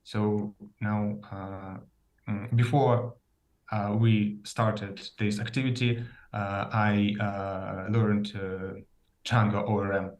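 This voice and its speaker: A man's voice: on the low side, little pitch movement, takes it slowly. A man from Russia with a Russian accent, a native Russian speaker, talking hesitantly about himself and searching for words. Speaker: club participant, learner. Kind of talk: casual talk about himself.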